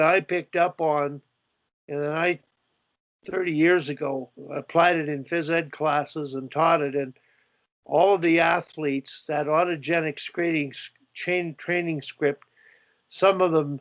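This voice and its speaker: An older Canadian man hosts a call and rambles on with plenty of "uh"s and false starts. His pitch sits a little high for a man. The sound is dull and dry, like laptop audio from a video call. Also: no echo. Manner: rambling, many fillers and restarts. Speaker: host. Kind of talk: video call panel discussion.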